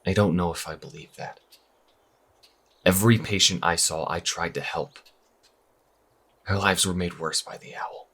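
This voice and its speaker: A man playing a troubled young priest losing faith in his church, speaking earnestly and haltingly in an audio drama. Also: deep voice, steady tone, fairly bright tone, husky, exaggerated voice. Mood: distressed, earnest.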